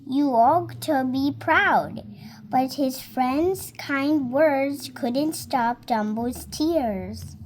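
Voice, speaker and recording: A girl of about seven reading a storybook aloud in a careful, halting, word-by-word way. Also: sits high, slight background noise. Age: child, about seven. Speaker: young reader.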